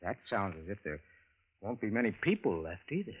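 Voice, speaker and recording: A man's voice: lowish voice, sing-song, fairly quick. A man acting a folksy, skeptical, bewildered American husband and narrator in an old radio drama, in a colloquial style. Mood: puzzled, pressing. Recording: tinny vintage radio recording, music behind.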